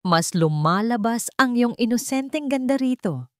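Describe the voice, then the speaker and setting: A woman with a Filipino accent voicing a wealthy, sweet-talking, controlling male suitor in an audiobook, softly persuasive. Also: on the high side, animated, moderate pace, no echo, studio quality, pitched above the performer's own voice, close to an everyday voice. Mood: sweetly controlling. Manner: soft, affectionate, persuasive. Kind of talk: audiobook character dialogue.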